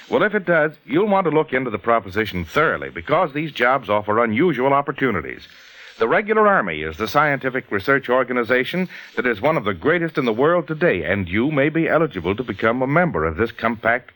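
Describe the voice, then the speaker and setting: An American man announces a commercial on vintage 1940s radio. His mid-pitched voice is animated, earnest and formal, built to persuade. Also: fairly quick, rough recording.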